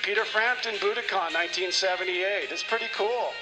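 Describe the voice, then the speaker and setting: A man's voice: high-pitched, brisk, natural voice, poor audio. A man playing a sly, chummy rock roadie, talking in a casual, self-satisfied way in comedy radio theater. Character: sly, chummy male rock roadie and small-time schemer. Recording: music playing behind the voice.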